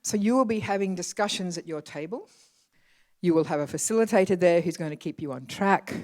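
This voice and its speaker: A facilitator calmly briefing a group, speaking in an instructive way. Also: mid-range voice, a little fast, no echo. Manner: calm, instructive.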